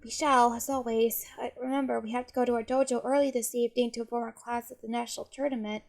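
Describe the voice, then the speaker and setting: A woman's voice: a little high, little pitch movement, quick pace, vocal fry. A woman playing an earnest, cautious demigod hero in an amateur audio drama. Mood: concerned. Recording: loud music behind the voice.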